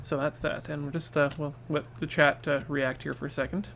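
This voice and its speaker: A man's voice: a little high, flat delivery, brisk, gravelly, background noise. A man telling casual, humorous stories to an audience on a livestream. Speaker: storyteller on a charity livestream.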